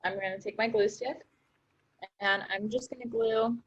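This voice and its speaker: A woman's voice: a little high, fairly even intonation, moderate pace, lo-fi sound. Upbeat young woman demonstrating a craft. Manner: upbeat, explains steps while working. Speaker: workshop presenter.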